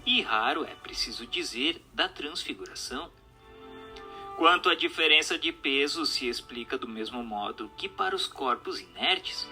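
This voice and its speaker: A man with a Brazilian accent reading a scripted text as narrator, in a formal, didactic, measured manner. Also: mid-range voice, bright, forceful tone. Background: music.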